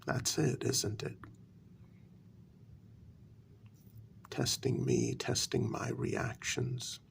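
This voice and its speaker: A man playing a wounded, bitter vampire in a soft-spoken ASMR role-play, speaking in hushed, deliberate half-whispers with a gravelly voice. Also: medium pitch, little pitch movement, a little hiss or hum. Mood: hurt, accusing. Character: wounded, bitter male vampire confronting his queen.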